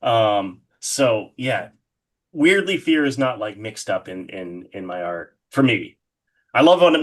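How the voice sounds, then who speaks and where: A man's voice: lowish voice, a bit bright. A man speaking as an interview guest in a casual, rambling, informal way with lots of hedging. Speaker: interview guest, horror artist.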